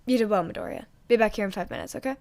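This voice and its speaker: Woman as a weary, stern male teacher-hero. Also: natural voice. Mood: calm, approving. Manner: slow, low-key, flat.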